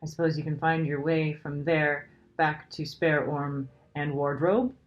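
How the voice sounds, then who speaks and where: Lady reading as a gentle male faun from a storybook, anguished n pleading, kinda dull recording. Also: low voice, steady tone, a little slow. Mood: resigned, urgent. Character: tearful guilt-ridden male faun, gentle, fretful, confessing. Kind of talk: children's storybook character reading.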